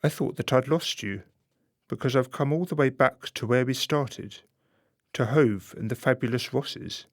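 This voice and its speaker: A man's voice: medium pitch, normal speed, no room sound, studio quality, close to an everyday voice. A man acting as a genial, knowing storyteller who narrates a radio play directly to the listener. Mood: genial, confiding.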